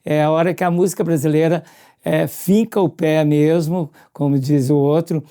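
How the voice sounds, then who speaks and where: A radio presenter with a Brazilian accent, reminiscing casually in an expressive storytelling way and often turning questions to the listener. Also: mid-range voice, normal speed.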